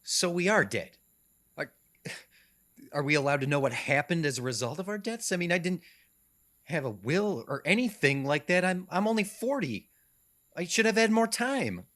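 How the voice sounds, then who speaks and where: A driven businessman around forty, played by a man in a mystery audio drama. His fairly high, forceful voice swings about in pitch, insistent and impatient. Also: sing-song, normal speed. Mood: shaken, protesting. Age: 40s. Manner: insistent, indignant.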